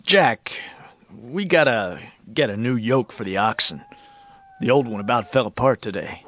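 An actor playing a hot-headed, stubborn, sarcastic young farmer in a western audio drama. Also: male voice, mid-range voice, lively intonation, moderate pace, a bit bright, a little hiss or hum, lightly acted. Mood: friendly.

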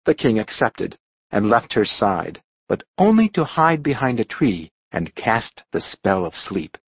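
Male storybook narrator: dramatic, expressive, slightly husky, highly varied intonation. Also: a little slow, dry recording, muffled recording. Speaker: audiobook narrator.

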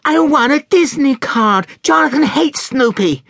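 A man voicing a stubborn, whiny little girl in a very high, chiming voice, as in a storybook reading. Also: lively intonation, measured pace, gravelly, a bit bright, dry recording, studio quality, heavily acted. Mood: whiny, insistent. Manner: pleading, stubborn. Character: whiny, insistent six-year-old little girl.

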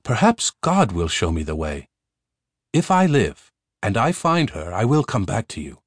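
A man's voice: on the low side, very animated, measured pace, dry recording, studio quality. A man voicing a young prince who is earnest, determined and curious, in a storybook.

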